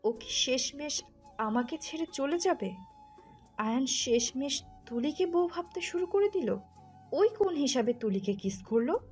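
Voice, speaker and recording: A woman's voice: sits high, lively intonation, unhurried. A woman playing a wounded, jealous wife who scolds and accuses sharply in an audio story. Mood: anguished. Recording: music under the voice.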